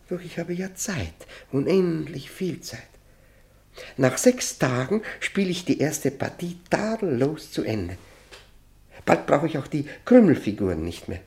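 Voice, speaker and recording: An actor playing a desperate, obsessive male prisoner who tells of solitary confinement in a German radio play, intense and halting, often repeating words. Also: medium pitch, a little mellow. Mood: obsessive determination. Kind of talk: German radio play, dramatic first-person narration.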